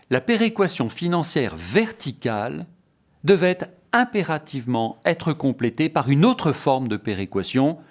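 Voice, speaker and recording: Formal male lecturer with lively, swinging intonation. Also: medium pitch. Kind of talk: formal scripted explanatory lecture.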